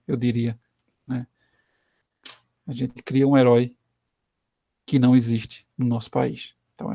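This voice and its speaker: A man's voice: medium pitch, gravelly, mellow, dry recording, studio quality. A Brazilian man giving a recorded history lesson in an easy, conversational way, often checking in with his listeners as he explains. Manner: conversational, frequent "né" tag questions. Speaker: history teacher.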